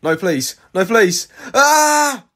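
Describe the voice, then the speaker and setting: A man acts out a terrified victim in an audio drama. His voice is fairly high and very lively in pitch as he pleads for mercy. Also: drawn-out words, heavily acted. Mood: terrified, pleading. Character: terrified male victim begging for his life. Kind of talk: amateur audio drama acting.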